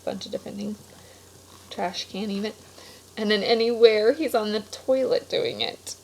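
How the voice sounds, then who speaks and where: A woman reading a children's picture book aloud expressively, pausing for casual explanatory asides to young listeners. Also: medium pitch, a little hiss or hum, poor audio. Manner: explanatory, stops to clarify words for children. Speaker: read-aloud storyteller.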